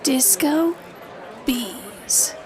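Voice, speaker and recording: Devoted female superfan, played by a woman, high voice, extremely slow dramatic delivery, crowd behind. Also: expressive, darkish tone, heavily acted. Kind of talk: audio drama interview.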